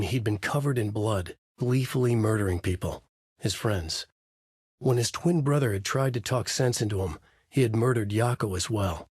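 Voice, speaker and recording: A haunted writer in a game, low husky voice, grim noir monologue, dry. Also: male voice, no echo. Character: haunted male horror novelist, brooding, driven. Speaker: narrator. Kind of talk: video game voice lines.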